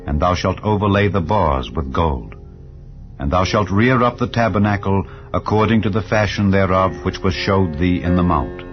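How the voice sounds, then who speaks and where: A man reading scripture as a narrator in a solemn, measured, formal and declamatory way. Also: low-pitched. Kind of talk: solemn dramatized Bible reading. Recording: slightly dull audio with background music. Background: soft background music.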